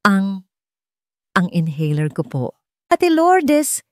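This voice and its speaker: A woman narrator acts out a small, sickly Filipino boy in a love-story drama. The voice is lifted high and is soft, polite and childlike, recorded dry. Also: big pitch swings, slow pace, dry recording. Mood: gasping, frightened. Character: small, sickly, polite little boy missing his mother.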